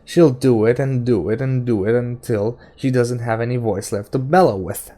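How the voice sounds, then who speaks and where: A man's voice: medium pitch, fairly even intonation, moderate pace, dark tone, close to an everyday voice. A man voicing a weary, wary man in an audiobook, grumbling to himself in exasperation.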